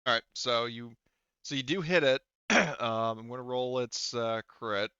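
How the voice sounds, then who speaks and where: A man's voice: medium pitch, unhurried, pushed and bright, clean tone, no room sound. A man speaking as game master of a tabletop roleplaying game, casually profane, talking players through the rules. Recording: dull, lo-fi close dry voice track.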